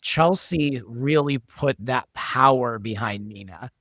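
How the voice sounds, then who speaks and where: A man's voice: mid-range voice, slow, a bit bright, no echo, clean studio sound. A man talking as a podcast guest and show creator, explaining things conversationally and thinking aloud as he goes. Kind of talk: conversational behind-the-scenes discussion.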